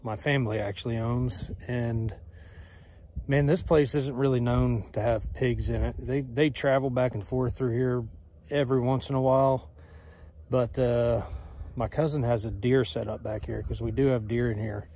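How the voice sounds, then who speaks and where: A man's voice: mid-range voice, a little hiss or hum. Laid-back Texan man vlogging a hunt. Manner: relaxed, plain-spoken, drawn-out fillers. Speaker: bowhunter filming himself. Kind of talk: casual self-filmed hunting vlog. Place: pickup truck cab and outdoors on a farm. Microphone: phone camera at arm's length.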